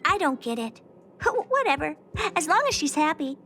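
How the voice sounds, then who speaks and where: A woman's voice: sits high, sing-song, normal speed, a bit bright, heavily acted. A woman voicing a smug young genius girl scientist in a video game, haughty and offhand. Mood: breezy, conceited. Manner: haughty, self-satisfied, offhand. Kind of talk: scripted video game voice acting. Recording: game dialogue with music behind.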